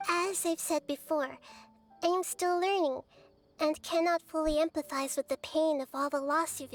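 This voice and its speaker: A woman voicing a gentle young girl goddess of wisdom in a video game, speaking in a soft, measured way. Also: very high-pitched. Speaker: game character.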